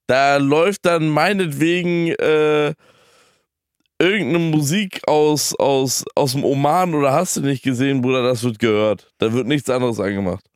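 A man's voice: mid-range voice, normal speed, smooth, no echo, clean studio sound. A young man in casual conversation as a podcast co-host, talking bluntly in youth slang. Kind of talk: casual chat.

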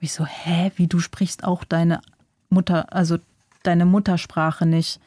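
A young German-born woman author whose first language is Vietnamese, speaking reflectively in a relaxed interview and thinking aloud with small restarts. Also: lowish voice, unhurried, no echo, studio quality. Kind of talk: casual interview talk.

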